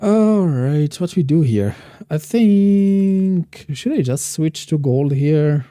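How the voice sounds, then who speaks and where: Dark-voiced man streaming a game. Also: on the high side, expressive, dry recording, studio quality. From Morocco. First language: Moroccan Arabic. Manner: thinks aloud, chats with viewers, halting non-native phrasing. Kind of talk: casual live-stream gameplay commentary.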